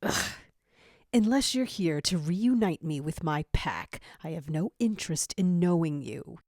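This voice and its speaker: A woman's voice: lowish voice, animated, a little slow, a little mellow, dry recording, clean studio sound. A woman voicing a brooding, bitter male jackal squad leader with a curt, disinterested manner. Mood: bitter, dismissive.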